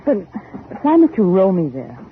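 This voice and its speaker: An actress playing an anxious, insistent young heiress, urgent and pleading in 1940s radio drama style. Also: female voice, medium pitch, huge pitch swings, normal speed. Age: young adult. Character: anxious, insistent young heiress seeking her family's secret. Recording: tinny, hissy 1940s radio recording.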